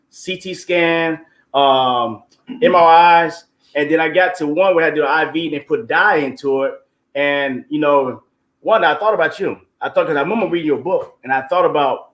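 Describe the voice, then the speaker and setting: A man with a higher-than-average voice talks as a guest producer on a show. He testifies informally and with emphasis, leaning on his faith and checking in often with conversational tags. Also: rough recording. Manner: informal, emphatic, devout, punctuated with 'you know what I'm saying'. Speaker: stage play producer and guest. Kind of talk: testimonial talk on an online show.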